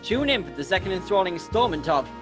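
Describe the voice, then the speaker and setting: A man's voice: high-pitched, sing-song, fast, over music. A man acting as a dramatic announcer, reading a scripted trailer for a mystery radio serial. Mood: suspenseful.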